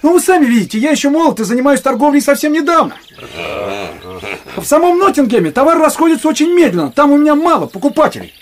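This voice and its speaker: Very high-voiced young timid peddler. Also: male voice, big pitch swings, quick pace. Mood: eager, ingratiating. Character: young male travelling cloth merchant, timid and ingratiating. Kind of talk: Russian audio drama acting.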